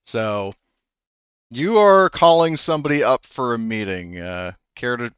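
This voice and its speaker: A man's voice: mid-range voice, expressive, a little slow, fairly bright tone, clean tone, no room sound, highs cut off. A man running a tabletop roleplaying game as game master, talking loosely with many fillers.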